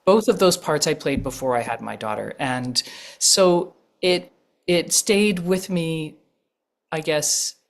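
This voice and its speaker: A woman with a low voice giving thoughtful, measured answers as an actress guest in an interview, pausing often. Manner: thoughtful, measured, with frequent pauses and fillers.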